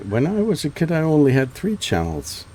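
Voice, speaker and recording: A man's voice: a little high, wildly swooping pitch, fairly quick, a little mellow. A German man chatting casually on headset while flying in a flight sim, hesitant with many restarts. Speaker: flight sim video host. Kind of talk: casual chat over radio while flying.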